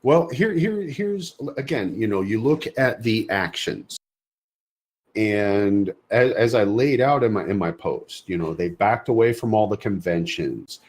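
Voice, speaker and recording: Guy with a lowish voice on a podcast, stop-start, keeps repeating himself. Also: animated, darkish tone, slightly rough. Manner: halting, repeats words while searching for phrasing. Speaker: podcast co-host. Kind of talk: casual podcast discussion.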